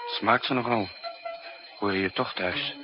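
An actor playing a wary, impatient secret-police agent with a terse manner in a 1960s radio drama. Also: male voice, lowish voice, measured pace, natural voice. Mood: watchful. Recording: muffled 1960s radio recording with music.

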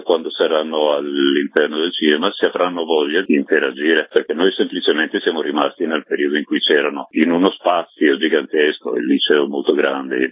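A man answering as an interview guest, reflective and halting, repeating words for emphasis. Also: rumbling low, breathy edge. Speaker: film director, interview guest. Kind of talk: spontaneous radio interview answers. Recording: dull lo-fi line with roomy echo.

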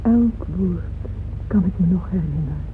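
An actress playing a devoted, possessive wealthy lady in a radio play, narrating in a deliberate, intimate, dramatic way. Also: fairly low pitch, lightly acted. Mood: wistful. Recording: muffled phone-like old radio recording with noise.

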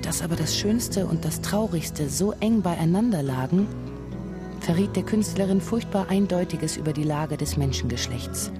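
Female narrator for literary radio play: lowish voice, composed, precise scripted storytelling, clear music bed. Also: expressive, normal speed.

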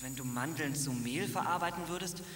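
An actor playing a calm, wry prisoner who talks things through thoughtfully in a radio play. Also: male voice, fairly high pitch, a little fast, a little hiss or hum, close to an everyday voice. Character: calm captive young man awaiting execution. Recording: distant roomy dungeon echo with music.

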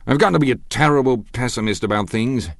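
A man's voice: medium pitch, fast, creaky, no room sound, clean studio sound, pitched above the performer's own voice, lightly acted. A man doing a blustering, domineering rich man. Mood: blustering, insistent. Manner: loud, forceful, lecturing. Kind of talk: audiobook character voice.

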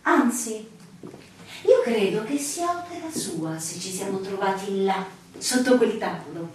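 Radio play: actress as sincere young woman in love, deliberate, mid-range, far off in echoey room. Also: mid-range voice, lively intonation, darkish tone, close to an everyday voice. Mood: earnest. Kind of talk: theatrical radio drama dialogue. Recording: very echoey, distant, faint noise.

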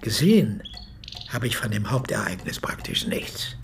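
A man's voice: medium pitch, sing-song, in a whisper. An actor playing an 86-year-old former army medic who recounts his memories as a solemn, precise eyewitness in a radio play. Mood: earnest, precise. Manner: measured, precise, formal storytelling. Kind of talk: radio play monologue, reminiscence.

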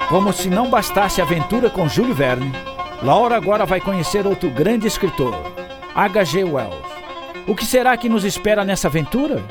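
A man's voice: high voice, very animated, normal speed. A man narrating a radio drama in scripted announcer style. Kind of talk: scripted narration, episode outro. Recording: loud music under studio narration.

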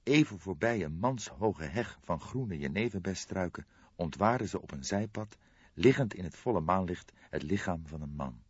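A man's voice: low voice, expressive, normal speed, slightly rough. A man narrating a crime novel as an audiobook, reading scripted prose in a dramatic storytelling style. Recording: dull lo-fi audiobook, roomy echo.

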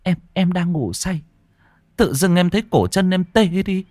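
A man's voice: sits high, big pitch swings, measured pace, a bit bright, no room sound, studio quality, pitched above the performer's own voice, heavily acted. A man voicing a terrified young village wife who stammers in panic. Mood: panicked.

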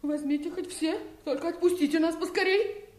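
A woman's voice: very high voice, a little fast, darkish tone, echoey room. An actress playing a young, headstrong fairy-tale princess in a radio play, with a girlish, exclamatory delivery. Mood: frightened, pleading. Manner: exclamatory, childlike.

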